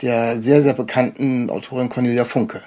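A man's voice: medium pitch, fairly even intonation, moderate pace, warm tone. A middle-aged man hosting a casual interview, rambling and hesitant as he works towards his questions. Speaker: interviewer, show host. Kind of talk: casual, unscripted interview chat.

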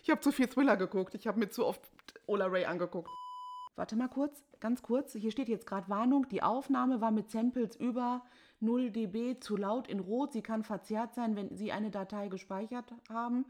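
Higher-pitched woman chatting between takes. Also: normal speed, a little mellow. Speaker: podcast member in audio drama outtakes. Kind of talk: casual chat between takes.

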